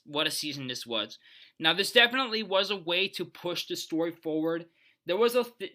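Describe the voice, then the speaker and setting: A man with a very bright, forceful voice sits a little high in pitch. He hosts a video, talking off the cuff in a rambling, opinionated way. His delivery is casual but emphatic. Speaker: video host. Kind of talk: casual unscripted ranking commentary.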